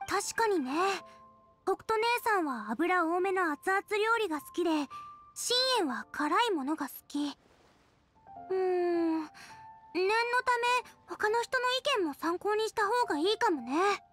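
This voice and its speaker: A cheerful girl chef in an anime game. Her voice is girlish and very high. She speaks with earnest eagerness over faint music. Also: animated, slow, heavily acted. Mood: thoughtful. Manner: bright-eyed, eager, girlish. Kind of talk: Japanese anime game voice acting.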